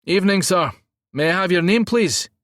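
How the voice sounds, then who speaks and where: A man's voice: high voice, expressive, normal speed, a bit bright, no room sound, clean studio sound, pitched above the performer's own voice. A man voicing a polite Scottish security guard in an audiobook, courteous and official.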